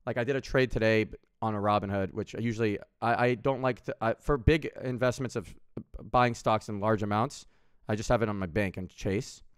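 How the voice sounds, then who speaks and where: A man's voice: lowish voice, a little fast, clean tone, no room sound, studio quality. A man of thirty streaming from home, rambling in casual chat and swearing freely, with lots of restarts. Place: home room with window, indoors.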